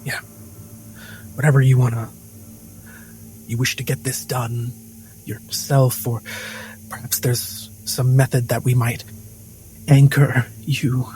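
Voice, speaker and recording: A man in casual in-character tabletop role-play, with hesitant, halting phrasing. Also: medium pitch, flat delivery, darkish tone, a little hiss or hum, poor audio. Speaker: role-play game player.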